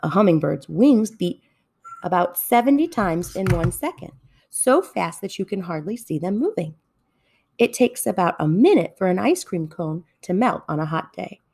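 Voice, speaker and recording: A woman reading a children's story aloud in an expressive narrating style. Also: lowish voice, moderate pace, vocal fry, breathy edge. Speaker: storybook reader.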